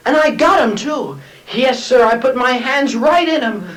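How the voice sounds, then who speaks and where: High-voiced dim-witted crook. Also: male voice, a little slow, fairly bright tone, low-quality recording. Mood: proud, eager. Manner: eager, simple-minded. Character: childlike, proud, dim-witted parroting male pickpocket. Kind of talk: 1950s radio sitcom acting.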